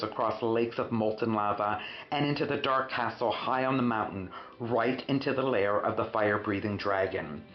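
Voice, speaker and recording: A man reading a children's storybook expressively, with dramatic emphasis and drawn-out words. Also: lowish voice. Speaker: storyteller, host of a read-aloud show. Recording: roomy, muffled sound with faint music.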